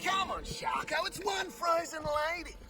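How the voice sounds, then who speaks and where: A very high, strained male shout over music. a cocky video game rogue who teases and mocks in unhurried jabs. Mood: cocky, jeering. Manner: shouty, teasing. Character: brash, mocking male rogue squad member. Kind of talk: game cutscene character acting.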